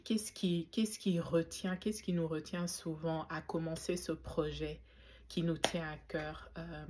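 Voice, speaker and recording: A woman's voice: on the low side, a little mellow. A woman in her forties from Belgium, talking reflectively to camera, with frequent fillers and restarts. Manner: reflective, faith-inflected, many fillers and restarts. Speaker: YouTuber. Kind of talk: casual talk to camera.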